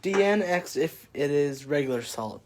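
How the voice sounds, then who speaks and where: A man's voice: fairly high pitch, lively intonation, a little slow, a little mellow, a little hiss or hum. A young man helping run a live online class, reading viewer chat messages aloud and answering in a hesitant way. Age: young adult. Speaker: co-host reading viewer comments.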